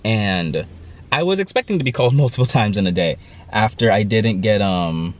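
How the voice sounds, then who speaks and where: Stuffy low-voiced young man vlogging. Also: animated, moderate pace. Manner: rambling, chatty storytelling with fillers. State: stuffy, congested. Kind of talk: casual vlog talk to camera.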